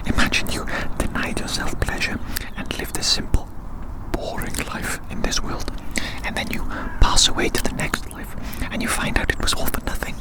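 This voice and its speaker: An ASMR performer speaking in a breathy whisper, rambling gently with repeated phrases, formal wording and soft tag questions. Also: moderate pace, rough recording.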